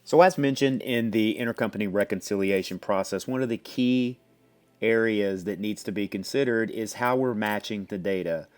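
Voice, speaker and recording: A man presenting a software demo, explaining step by step in a conversational way. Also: on the low side, moderate pace. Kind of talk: explanatory product demo walkthrough.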